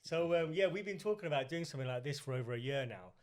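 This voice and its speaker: A man's voice: a little high, lively intonation, fast. A man with a southern English accent, talking casually as a podcast host and interviewer. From UK, grew up in Reading.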